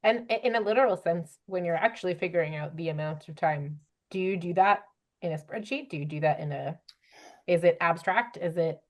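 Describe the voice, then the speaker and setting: A woman with a slightly low voice moderates a panel discussion. She interviews conversationally, in an attentive and informal manner. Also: brisk.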